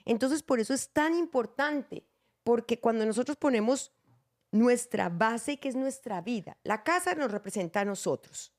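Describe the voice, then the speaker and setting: A woman's voice: a little high, expressive, moderate pace. Earnest woman explaining Bible teaching. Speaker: host.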